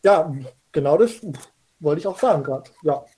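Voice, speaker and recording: A man playing a flustered, stammering know-it-all chief detective in a youth radio play, with a husky, hesitant voice. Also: fairly high pitch, animated, moderate pace, background noise, close to an everyday voice. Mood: flustered, unsure. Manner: hesitant, stammering, fillers everywhere.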